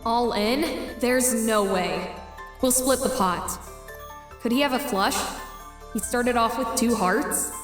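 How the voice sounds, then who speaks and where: Competitive, calculating teen girl for a webcomic dub, played by a woman: high, slow and deliberate, music underneath. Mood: calculating, tense. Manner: deliberate, musing to self. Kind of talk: webcomic fan dub acting with inner monologue. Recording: roomy voice with music behind.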